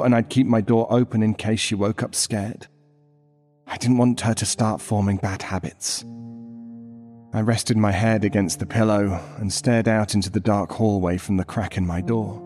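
Guy playing anxious dad narrating a scary story, lowish voice, brooding n intense, some music under it. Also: a little mellow, lightly acted. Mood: weary, brooding. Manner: intense, brooding, dramatic pauses. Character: anxious, troubled father of a young daughter, narrating. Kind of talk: dramatic first-person horror story narration. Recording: music behind the voice.

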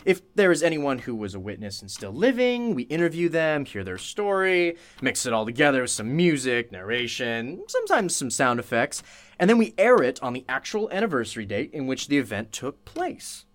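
A man's voice: medium pitch, very animated, moderate pace, a bit bright. A man playing a chatty, courteous radio show host interviewing a witness in a radio play, effusive and apologetic. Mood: chatty, upbeat.

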